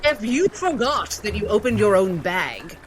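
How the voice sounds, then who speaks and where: A player voicing an eccentric, apologetic psychiatrist with psychic powers, halting and fussily formal, in improvised tabletop roleplay. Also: medium pitch, big pitch swings, a little hiss or hum, low-quality recording. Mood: fussy, defensive.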